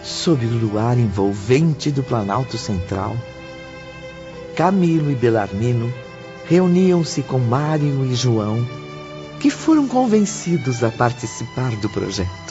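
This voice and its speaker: A man's voice: medium pitch, sing-song, slow pace. A man reading scripted dramatic narration for an audiobook novel. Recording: dull audio under clear music.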